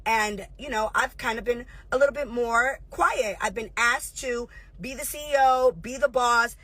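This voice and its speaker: A woman in her thirties goes off in a confrontational, profane livestream rant. Her voice sits high and sounds bright and cutting. It is recorded close up, dry, with no room sound. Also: no room sound. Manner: confrontational, profane, rapid-fire. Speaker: reality show host and producer.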